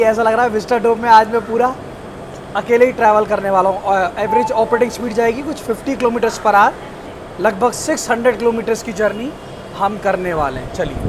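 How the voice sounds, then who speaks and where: Excited young man vlogging aboard a train. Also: high-pitched, background noise. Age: young adult. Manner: excited, chatty, addresses viewers directly. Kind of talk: casual travel vlog. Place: train carriage and outdoor station platform. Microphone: phone held at arm's length.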